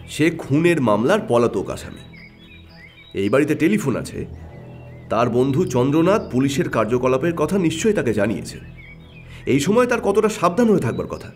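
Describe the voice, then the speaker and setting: A man's voice: sits high, a little mellow. An actor playing a keen, confident male detective who reasons emphatically in an audio mystery drama. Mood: musing, suspicious.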